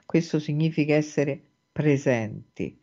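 A woman hosting a spiritual talk, explaining a fairy tale in a thoughtful, measured way with frequent hesitant pauses, in dull lo-fi podcast audio. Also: deep voice, dark tone. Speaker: host and spiritual teacher. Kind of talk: reflective spoken lecture on a fairy tale.

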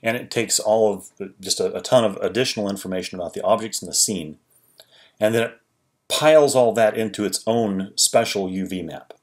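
Low-voiced man explaining a software tutorial. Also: a little fast. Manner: relaxed, explanatory, with frequent restarts. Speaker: 3D tutorial creator. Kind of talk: screen-recorded software tutorial narration.

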